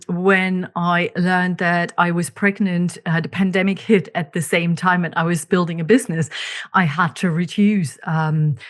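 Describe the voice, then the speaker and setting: A woman with a German accent hosting her own podcast, talking candidly and reflectively, with frequent ums and restarts. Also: medium pitch. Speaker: podcast host. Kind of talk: reflective solo podcast monologue.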